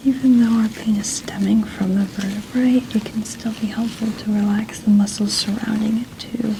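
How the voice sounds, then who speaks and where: A woman's voice: mid-range voice, level tone, vocal fry, background noise. Whispering woman in an ASMR roleplay. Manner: soft, slow, soothing whispering. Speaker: ASMR performer playing a chiropractor.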